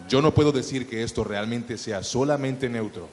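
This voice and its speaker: A man's voice: mid-range voice, normal speed, a little mellow. A man with a Cali Colombian accent explaining points on a panel, often checking in with his listeners. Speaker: dubbing panelist. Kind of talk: panel discussion. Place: large event hall. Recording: long hall reverb, faint background noise.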